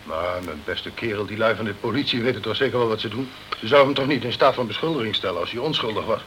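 An actor playing a sceptical, self-protective British consul in a crime radio play, with a condescending, chummy, blustering way of talking. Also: male voice, lowish voice, fast, a bit bright, slight background noise, close to an everyday voice. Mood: doubtful.

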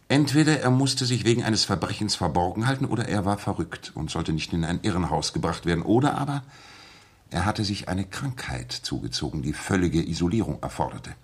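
An actor playing a composed gentleman detective in a 1960s German radio drama, courteous and precise in measured phrases. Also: sits low. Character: composed, methodical gentleman detective explaining his deductions.

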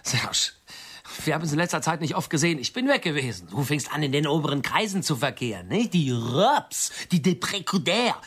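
A man's voice: fairly high pitch, sing-song, fairly quick, fairly bright tone, breathy edge, exaggerated voice. An actor playing a bitter, possessive man in a radio drama, sarcastic and theatrical, moving between muttering and declaiming. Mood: wounded, sarcastic. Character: bitter, possessive man lecturing his old friends. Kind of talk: German radio drama acting.

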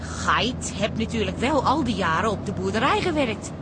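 A woman's voice: mid-range voice, expressive, moderate pace, heavy background noise, lightly acted. A woman acting in a radio drama as a sensible wife, soothing and dryly teasing. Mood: soothing, polite. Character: sensible, wryly teasing wife of a grumbling man.

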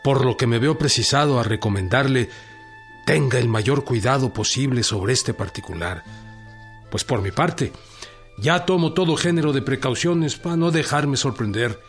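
An actor playing an earnest, grave and determined peasant revolutionary general in a radio drama. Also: male voice, medium pitch, animated, moderate pace, soft background music, natural voice. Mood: grave, formal.

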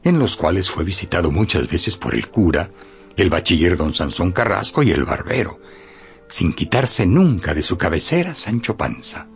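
A man's voice: deep voice, sing-song, normal speed, faint music behind. A man narrating a radio novel in a scripted, dramatic storytelling style.

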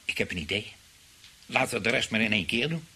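Bright-voiced scheming husband. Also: male voice, lowish voice, animated, fast talker, husky, full character voice. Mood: eager, placating. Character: eager, placating husband scheming for money. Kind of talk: radio play acting. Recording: noisy radio drama recording.

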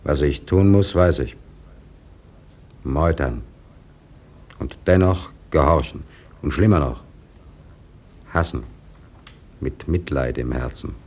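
An actor playing a grave, devout first mate on a whaler in a radio drama, solemn and anguished in measured phrases. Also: male voice, very deep voice, a little mellow, exaggerated voice. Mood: anguished resignation. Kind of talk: German radio drama acting.

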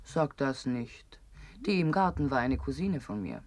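An actress playing a sly, macabre, teasing woman in a radio play, sardonic, sententious and theatrical, with a husky voice. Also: a bass voice, very animated, measured pace, a little mellow, a little hiss or hum, full character voice. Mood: cool, unapologetic. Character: sly, macabre, teasing woman who devours her friends. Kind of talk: absurdist German radio play acting.

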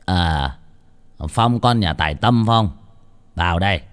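A man voicing an older village chief in a story, curt and unhurried. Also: low voice, lively intonation, clean tone, voice pushed down. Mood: calm.

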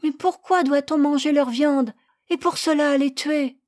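Lady doing a soft-hearted little kid, high and loud, begging with question after question. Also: a little slow. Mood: pleading. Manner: pleading, earnest questioning. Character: small boy, tender-hearted and stubborn.

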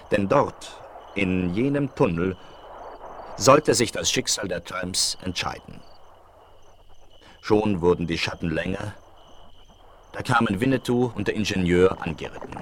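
An actor narrating a Western adventure radio play in a solemn, measured, scene-setting manner. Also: male voice, fairly low pitch. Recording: chirping crickets behind the voice.

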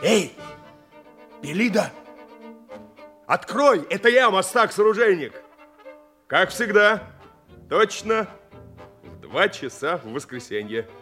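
An actor playing a boastful, jovial armourer in an audio drama, with a self-satisfied manner. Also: male voice, sits high, sing-song, slow pace, pauses often, sharp tone, soft background music, heavily acted. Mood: hearty. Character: boastful, jovial male armourer courting a milliner. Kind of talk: theatrical audio drama dialogue.

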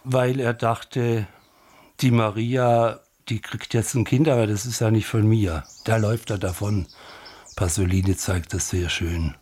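A man giving unscripted, anecdotal commentary on a film in a halting, musing, wry manner. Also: fairly low pitch, steady tone, a little mellow, breathy edge.

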